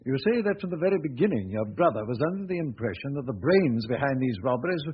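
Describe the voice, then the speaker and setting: An actor playing a measured, probing senior police official in a 1940s radio drama, speaking deliberately with pauses. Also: male voice, mid-range voice, lively intonation, slightly rough. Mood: pondering. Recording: dull 1940 radio recording.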